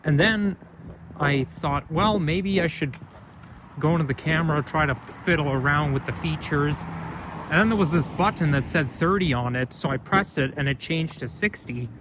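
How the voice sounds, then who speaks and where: A man's voice: a little high, normal speed. Chatty young man walking outdoors. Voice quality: loud voice. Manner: rambling, chatty, self-correcting. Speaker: vlogger. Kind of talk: casual walking vlog narration. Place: outdoors on a street. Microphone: phone camera held while walking. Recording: outdoor street noise.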